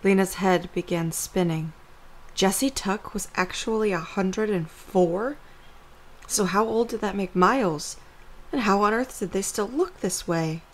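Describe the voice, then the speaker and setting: A woman's voice: medium pitch, unhurried. A woman reading scripted story narration as the narrator of an audio drama.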